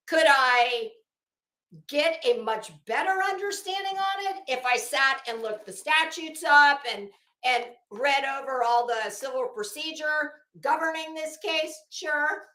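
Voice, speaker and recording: High-voiced sassy woman in an echoey room. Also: pushed and bright. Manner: sassy, sarcastic, dramatic emphasis with drawn-out words. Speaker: host of an online commentary show. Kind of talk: casual, opinionated commentary to camera. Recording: roomy, echoing sound.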